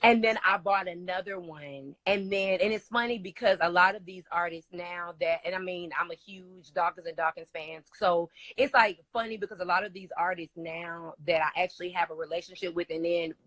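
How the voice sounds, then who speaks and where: A young woman singer with a low, raspy voice, chatting enthusiastically as an interview guest with lots of fillers. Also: brisk, few pauses, a bit bright, no room sound. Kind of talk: casual interview chat.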